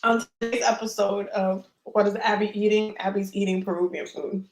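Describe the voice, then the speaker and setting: A woman's voice: medium pitch, steady tone, moderate pace, roomy sound, soft background music, low-quality recording. A woman hosting a casual tarot live stream who chats and laughs while she eats. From Dominican. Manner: chatty and laughing, talks while eating.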